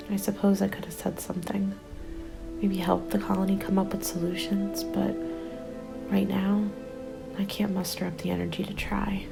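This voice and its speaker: A woman playing a weary, hopeless apocalypse survivor, giving a sombre diary monologue in a soft, breathy half-whisper as ASMR roleplay. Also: medium pitch, lightly acted. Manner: resigned, sombre, deliberate.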